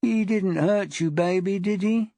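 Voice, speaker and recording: A woman voicing a menacing, obsessive, jealous husband in an audiobook, crooning and hissing with drawn-out words. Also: fairly low pitch, big pitch swings, slightly rough, dry recording, exaggerated voice.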